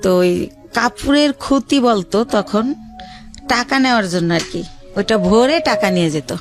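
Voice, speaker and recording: A woman from Bangladesh telling her life story as a radio show guest, hesitant, with many pauses and fillers. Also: a little high, lively intonation, over music.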